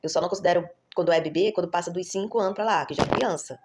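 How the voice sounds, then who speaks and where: A woman's voice: lowish voice, racing, noisy background. A woman, chatting to her viewers in a rambling vlog style and correcting herself often. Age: 30s. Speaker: vlogger, mother. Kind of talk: casual vlog storytelling.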